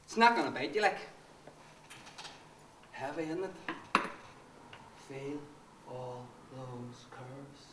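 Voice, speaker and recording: A man in his twenties with a Northern Irish accent, playing an obsessive, menacing young republican militant in a dramatic monologue, lurching between hushed whispers and profane outbursts. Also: medium pitch, lively intonation, slow pace, long pauses, noisy background. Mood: ranting. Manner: swings between hushed self-urging whispers and swearing tirades. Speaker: monologue performer. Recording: echoey room, voice from a distance.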